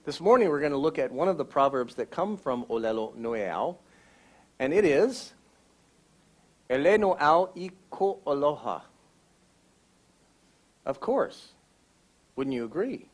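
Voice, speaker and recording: A man's voice: on the high side, animated, measured pace, pauses often. Man giving a Sunday church message. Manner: explanatory, teaching tone. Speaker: church speaker. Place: church sanctuary.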